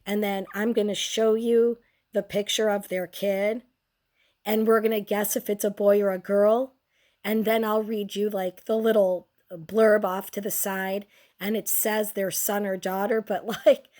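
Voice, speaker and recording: Sick young woman barely talking, voice mostly gone, slow drawn-out snarky commentary, swears a lot. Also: mid-range voice, little pitch movement, darkish tone. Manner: crude, sardonic, slow and drawn out. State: sick, nearly lost voice. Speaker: host. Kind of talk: casual commentary on photos.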